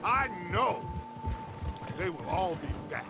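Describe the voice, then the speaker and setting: American outlaw gang boss, video game western, highish voice, big rousing speechy delivery, music loud behind. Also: male voice, big pitch swings, slow, vocal fry, exaggerated voice. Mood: resolute, rousing. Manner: grandiose, speechifying. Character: commanding male outlaw gang leader, middle-aged, rousing and defiant. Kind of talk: dramatic video game cutscene acting.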